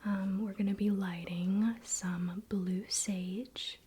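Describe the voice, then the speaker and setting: A woman whispering softly in a soothing, relaxed and informal way, as an ASMR host. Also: medium pitch, takes it slowly, slight background noise. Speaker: ASMR video host and spiritual practitioner. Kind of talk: soft-spoken whispered ASMR.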